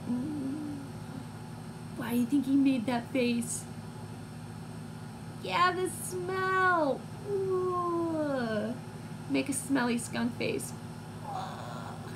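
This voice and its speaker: A woman in her twenties reading a picture book to young children, expressive and teacherly, pausing to put questions to listeners. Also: high voice. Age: 20s. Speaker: read-aloud storyteller for young children. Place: small room at home, indoors. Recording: clear background noise, home recording.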